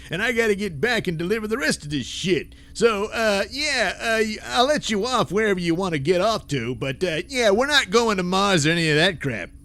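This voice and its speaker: A man's voice: high voice, bright, forceful tone, heavily acted. A man playing a brash, crude, put-upon space hauler, folksy and grumbling, in a comic sci-fi audio serial.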